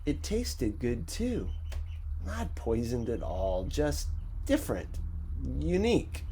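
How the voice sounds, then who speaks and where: Husky, slow-paced man narrating a story. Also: a little high, darkish tone. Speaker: audiobook narrator. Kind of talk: first-person scripted story narration.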